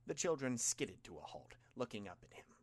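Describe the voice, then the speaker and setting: A man's voice: mid-range voice, sing-song, normal speed. A man narrating a scripted story as an audiobook reader. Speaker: audiobook reader of fan fiction.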